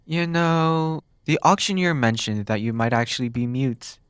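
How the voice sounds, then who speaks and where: A man playing a flirty, teasing demon captor in an ASMR roleplay, musing in drawn-out phrases. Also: mid-range voice, smooth, no echo, studio quality, lightly acted. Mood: puzzled, frustrated. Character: flirty, teasing male demon captor, smug then exasperated.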